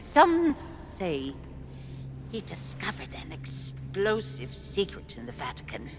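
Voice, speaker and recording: A woman's voice: on the low side, wildly swooping pitch, slow, over music, heavily acted. A woman playing a poised, intriguing nun in a cinematic video game. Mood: mysterious, teasing. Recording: long hall reverb, faint ambient noise.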